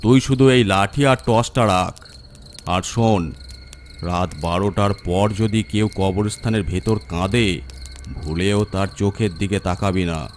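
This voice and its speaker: A man's voice: on the low side, unhurried, slight background noise, lightly acted. A man voicing an ominous, grave village elder in a horror story. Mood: stern warning. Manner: grave, foreboding.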